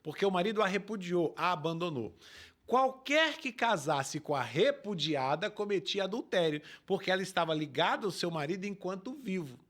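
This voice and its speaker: A pastor speaking with emphasis, his voice pitched high for a man. His pitch rises and falls widely as he explains scripture to the show's host comes through clearly. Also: animated, moderate pace, fairly bright tone. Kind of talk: preaching in a talk show.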